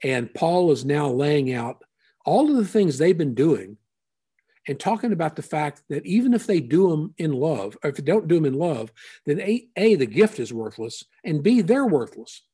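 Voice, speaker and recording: A man preaching a conversational sermon as a pastor, unhurried and thinking aloud with frequent asides. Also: a little high, very animated, dark tone, slightly rough.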